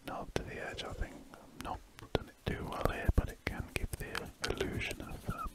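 A man with a British English accent whispers breathily in an ASMR style. He rambles casually and gently, musing in a hesitant way. Pen scratches on paper sit behind the voice. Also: moderate pace. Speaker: ASMR artist. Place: small warm room at a desk, window shut.

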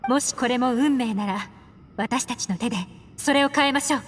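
An actress playing a composed, worldly and enigmatic woman investigator in a video game, with poised, measured speech. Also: on the high side, close to an everyday voice. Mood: resolute. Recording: long hall reverb, music behind. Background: music.

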